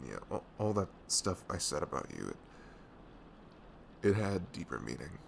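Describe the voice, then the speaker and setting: A man playing a devoted, goofy young best friend in an ASMR audio roleplay, earnest and nervously lovestruck, with a soft velvety voice. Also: low voice, sing-song, gravelly, slight background noise, heavily acted. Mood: tender confessing. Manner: hesitant, halting, earnest.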